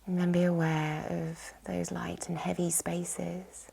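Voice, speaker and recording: A woman with a soft, breathy, whispery voice, soothingly guiding a yoga nidra relaxation with gentle instructions. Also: lowish voice, little pitch movement, slow. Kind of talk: guided relaxation meditation.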